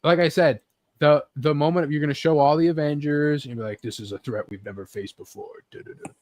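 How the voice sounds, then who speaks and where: A man's voice: on the high side, fairly quick, a little mellow, dry recording. A man hosting a casual, sweary chat about geek culture, rambling and often acting out little imagined bits. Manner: rambling, acts out imagined scenes with sound effects. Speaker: host leading a group chat about games and films.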